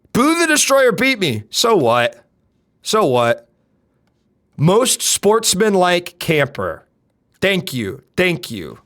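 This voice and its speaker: A young man with a deep voice, streaming and chatting casually, loud and brash, swinging from shouts to mutters. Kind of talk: casual live-stream chat.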